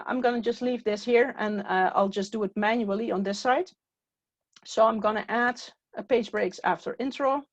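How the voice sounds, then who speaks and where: A woman whose first language is Dutch, giving a step-by-step software training walkthrough with frequent "uh" fillers. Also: medium pitch, dry recording. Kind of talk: screen-share tutorial walkthrough. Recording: dull, dry, lo-fi recording.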